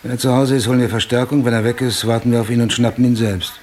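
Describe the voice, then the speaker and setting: An actor playing a driven, hard-boiled police detective in a radio drama, with a gravelly voice and terse, clipped phrasing. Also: male voice, mid-range voice, flat delivery, fast talker, a little mellow, slight background noise, lightly acted. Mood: businesslike. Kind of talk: German radio drama acting.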